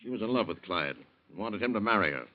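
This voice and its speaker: A man's voice: fairly low pitch, animated, fast, natural voice. An actor playing a cocky, scheming American crime boss in an old-time radio drama, talking in taunting tough-guy slang. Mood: accusing. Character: cocky scheming crook boss, bullying and sneering. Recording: dull, lo-fi old radio recording.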